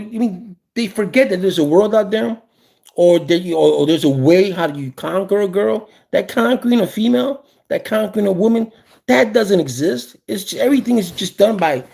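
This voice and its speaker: A man of about fifty with a gravelly voice, talking casually and with opinions, often repeating phrases for emphasis. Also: sits high, mellow. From South Bronx, New York, USA. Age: around 50. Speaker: host.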